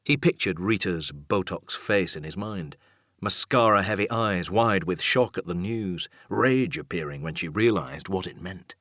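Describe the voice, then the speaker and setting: A man reading a dark thriller audiobook as scripted narration, measured and suspenseful. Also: low voice, dry recording, clean studio sound.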